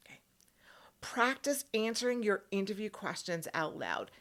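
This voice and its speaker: A woman's voice: mid-range voice, lively intonation, normal speed, a bit bright. A woman working as a career coach, speaking straight to the viewer in an emphatic, instructional way and often checking in with short tag questions. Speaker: career coach hosting an advice video.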